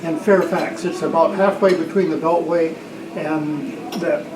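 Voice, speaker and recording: A man's voice: on the high side, fairly even intonation, a little slow, very noisy. A man speaking informally from the floor as a member at a club meeting. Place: indoor luncheon room. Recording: distant mic, echoey, loud crowd.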